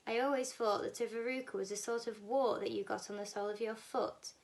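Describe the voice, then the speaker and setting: A woman as eccentric little gentleman, storybook host, effusive, courteous, exclamatory, delighted. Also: fairly high pitch, fairly even intonation, brisk, natural voice. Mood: gushing, delighted.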